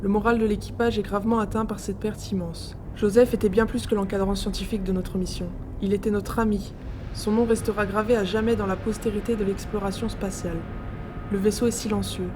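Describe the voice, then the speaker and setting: A woman's voice: mid-range voice, fairly even intonation, fairly quick, background noise, lightly acted. A woman playing a solemn, grieving ship's doctor recording a formal log in an audio drama. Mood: solemn grief.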